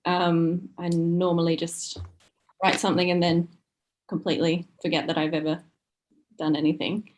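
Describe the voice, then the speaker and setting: Casual woman with a slightly low voice. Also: level tone, normal speed, darkish tone, a little hiss or hum, poor audio. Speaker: short story writer, podcast guest. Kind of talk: casual group chat.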